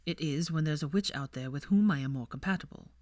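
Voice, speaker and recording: Young upper-class man played by a woman narrator, low pitch, restrained, sardonic, matter-of-fact, dry close sound, audiobook character. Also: fast, darkish tone, no room sound, natural voice. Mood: calm, firm.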